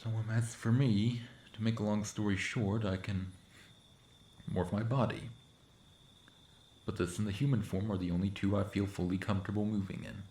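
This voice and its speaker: An awkward, sardonic monster in a friend's shape, acted by a young man for an audio roleplay. His voice is low and casual, with hesitant, wry phrasing. Some background noise sits behind it. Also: fairly even intonation, moderate pace, mellow, echoey room, lightly acted. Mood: awkward, defensive. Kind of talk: audio roleplay acting, spoken to the listener.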